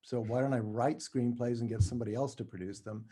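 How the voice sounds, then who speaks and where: Halting middle-aged man in casual conversation. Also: medium pitch, steady tone, dark tone. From New Mexico, United States. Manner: halting, self-correcting. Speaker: podcast guest, writer.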